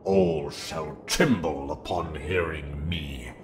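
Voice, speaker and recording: A man's voice: very deep voice, takes it slowly. A man playing an ancient cosmic horror overlord, speaking in cold, pompous pronouncements. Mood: menacing command. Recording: echoey room with loud noise.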